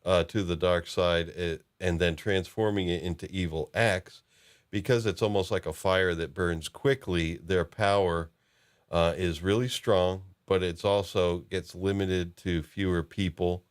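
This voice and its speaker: A man hosting a casual fan discussion about lore, talking haltingly as he thinks aloud. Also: low voice, steady tone, clean tone. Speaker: podcast host.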